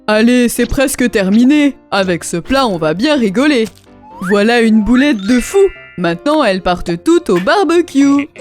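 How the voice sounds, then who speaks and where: A fussy orc father cooking for his children , voiced by a woman in a fairly high register. He bosses everyone around in a singsong rhyme, with faint children's music playing behind him. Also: lively intonation, normal speed, a little mellow, lightly acted. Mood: cheerful. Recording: cartoon dub with music behind.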